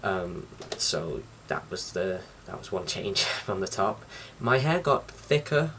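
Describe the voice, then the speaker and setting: A young man talking casually to camera as a vlogger, in an informal, slightly hesitant and rambling way. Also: fairly low pitch. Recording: distant mic with faint background noise.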